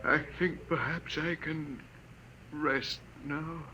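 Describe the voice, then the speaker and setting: An actor playing a lonely, frail old doctor in a 1950s radio drama, speaking weakly and haltingly with repeated words. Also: male voice, a little high, fairly even intonation. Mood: pleading, fading. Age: older. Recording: noisy vintage 1950s radio recording.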